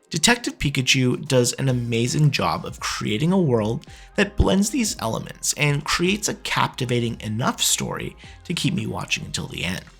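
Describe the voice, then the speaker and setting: A man's voice: mid-range voice, creaky, a bit bright, slightly rough. A man in his thirties giving scripted, opinionated commentary in a conversational way, as the host of a review video.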